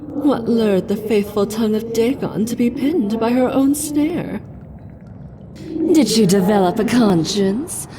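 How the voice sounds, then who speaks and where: A woman voicing a mocking, gloating female demonic spirit in a game, with a slow, theatrical, taunting delivery. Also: a little high, background noise, close to an everyday voice.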